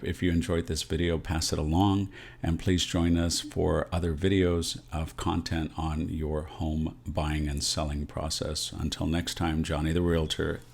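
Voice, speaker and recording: American male realtor host, very low voice, explanatory direct-to-camera advice delivery with frequent check-ins. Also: creaky. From San Antonio, Texas, USA. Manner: explanatory, often checks in with "right?".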